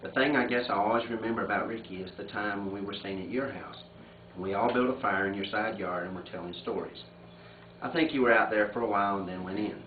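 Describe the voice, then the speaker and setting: Male family storyteller, lowish voice, laughs easily, echoey lo-fi room sound. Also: fast talker. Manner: animated, often breaking into laughter. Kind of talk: informal storytelling and reading a letter aloud among family. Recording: muffled phone-like audio, echoey, distant, noisy room.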